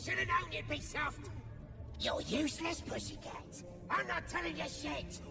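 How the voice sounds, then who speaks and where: Gravelly, high-pitched cruel goblin torturer. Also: unhurried. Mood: contemptuous. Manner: taunting, crude, gloating. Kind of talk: video game character acting. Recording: distant, long hall reverb, under music.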